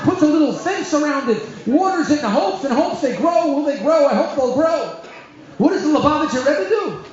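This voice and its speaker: Man, rabbi, lively storyteller, acts out the voices, dull lo-fi recording. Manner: animated storyteller, acts out dialogue with shifting pitch. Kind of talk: storytelling talk to a congregation. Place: synagogue hall. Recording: very echoey hall, dull lo-fi sound.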